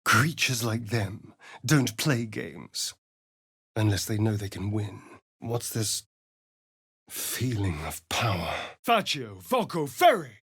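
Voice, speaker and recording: An actor playing a sly, vain vampire rogue in a video game, with an arch, sardonic, flamboyant delivery. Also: male voice, on the low side, very animated, takes it slowly, a bit bright, slightly rough, no echo, clean studio sound, full character voice. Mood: incanting. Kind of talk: video game voice acting, dramatic lines and narration.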